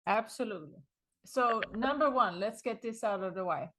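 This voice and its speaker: A middle-aged woman from Sweden with a Swedish accent, being interviewed and telling her story in a lively way with frequent small laughs. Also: a little high, moderate pace, slight background noise. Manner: animated storytelling, chuckles often. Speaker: guest author. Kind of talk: conversational interview.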